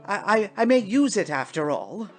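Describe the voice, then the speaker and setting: A woman voicing a fussy, bewildered, stammering gentleman ghost in a storybook reading. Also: medium pitch, big pitch swings, normal speed, faint music behind, pitched above the performer's own voice. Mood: fussy alarm. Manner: stammering, flustered, prone to exclaim. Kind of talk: theatrical storybook character voice.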